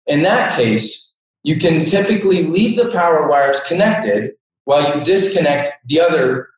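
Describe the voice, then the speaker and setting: A man giving a methodical, step-by-step technical product explanation as an expert, recorded in an echoey room. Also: mid-range voice. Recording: echoey room, distant microphone.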